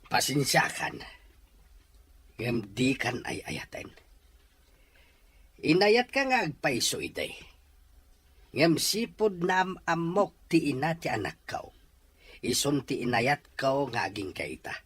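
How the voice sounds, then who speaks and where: A man's voice: fairly high pitch, big pitch swings, slow, long pauses, fairly bright tone, breathy edge, full character voice. A man with a Filipino accent playing an earnest, middle-aged widowed father in an Ilocano radio-style drama. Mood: stern. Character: worried widowed father, stern and plain-spoken. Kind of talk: Ilocano audio drama acting.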